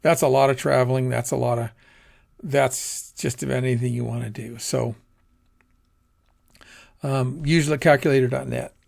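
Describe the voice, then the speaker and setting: A man's voice: mid-range voice, fairly even intonation, normal speed, gravelly, long pauses, a little mellow. A man giving an informal lecture on personal finance, talking in a rambling, conversational way and correcting himself as he goes. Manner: conversational, rambling, self-correcting, acts out quoted asides. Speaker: teacher.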